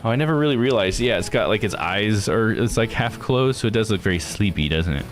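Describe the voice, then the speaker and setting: A man's voice: lowish voice, expressive, brisk, soft background music. A man streaming a game playthrough with casual commentary, musing aloud in an easygoing way.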